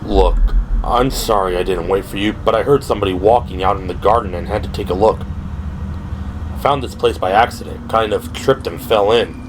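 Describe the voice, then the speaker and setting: A man voicing a burly, uneasy male gunman in a guarded, hushed manner for an audio drama. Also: on the low side, moderate pace, background noise, pitched below the performer's own voice, lightly acted. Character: gruff, uneasy big burly man with revolver.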